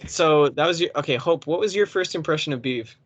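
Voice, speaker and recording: A man's voice: a little high, brisk, highs cut off. A young man guesting on a casual podcast over a voice call, speaking in slangy, playfully sarcastic banter.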